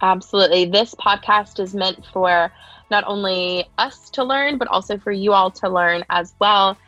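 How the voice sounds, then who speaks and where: A woman's voice: mid-range voice, moderate pace, pushed and bright, dry recording, muffled recording, faint music behind. A woman who works as a health and wellness coach, co-hosting a podcast teaser in an upbeat manner, stretching out key words.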